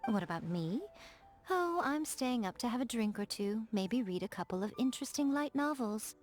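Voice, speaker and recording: A woman playing a sly, elegant fox spirit shrine maiden in a video game, coy and self-assured, in measured teasing phrases. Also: on the high side, lively intonation, darkish tone, lightly acted. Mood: coy, gentle. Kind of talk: English video game character voice acting. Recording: music behind the voice. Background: background music.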